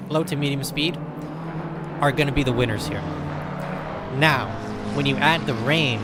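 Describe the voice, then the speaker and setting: An American man giving casual, chatty commentary on a sim racing qualifying session. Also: a little high. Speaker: race commentator. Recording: loud game engine noise behind.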